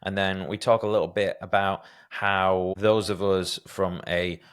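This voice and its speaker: A man's voice: deep voice, little pitch movement, a little slow, fairly bright tone, clean tone. A man hosting a podcast interview, telling candid, self-deprecating stories in a casual conversational way. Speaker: podcast host.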